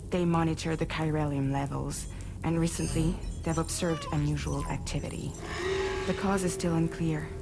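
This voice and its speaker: A woman playing a grave, guarded leader of a courier group in a sci-fi game, speaking in a measured, businesslike way. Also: low-pitched, background noise. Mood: earnest, grave. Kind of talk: cinematic game dialogue.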